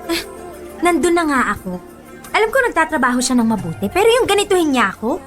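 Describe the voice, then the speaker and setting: A woman's voice: sits high, very animated, fast, a bit bright. A woman acting a sulky young woman fed up with her boyfriend in a Filipino radio drama, complaining in a huffy, emphatic way. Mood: exasperated. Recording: music bed behind voice.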